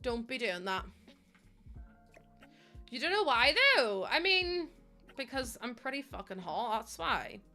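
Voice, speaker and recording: Bright-voiced Northern Irish woman streamer. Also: on the high side, sing-song, a little slow, pauses often. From Northern Ireland. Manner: chatty, giggly, swears casually, local slang. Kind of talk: casual chat with live chat. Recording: faint music behind.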